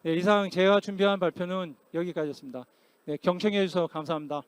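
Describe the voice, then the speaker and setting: A man speaking as a technical expert in a formal conference Q&A, explaining politely and hesitantly with frequent pauses. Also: high-pitched, steady tone, smooth, dry recording. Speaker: conference speaker, security company technical director answering questions. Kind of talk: formal Q&A after a presentation. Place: conference hall stage, amplified talk.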